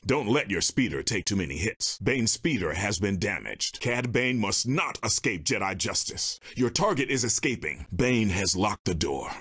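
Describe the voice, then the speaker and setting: An actor playing a stern, solemn Jedi master in a video game, speaking in a measured, formal and commanding way with a husky voice. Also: male voice, low voice, a bit bright, heavily acted. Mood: urgent, warning. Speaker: video game mission giver. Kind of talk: scripted game character dialogue.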